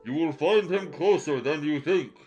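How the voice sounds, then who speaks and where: A man's voice: on the high side, darkish tone, dull audio, faint music behind, natural voice. Man doing a grave masked ghost in a Vader voice. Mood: ominous. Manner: slow, solemn, ominous pronouncements. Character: grave masked male spirit, Darth Vader style.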